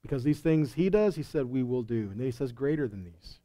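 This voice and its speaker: A man's voice: medium pitch, animated, brisk, dark tone. A middle-aged man preaching a teaching sermon, emphatic, with scripture readings and rhetorical questions. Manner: emphatic, asks rhetorical questions and answers them. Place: indoor stage of a congregation hall. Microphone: headset.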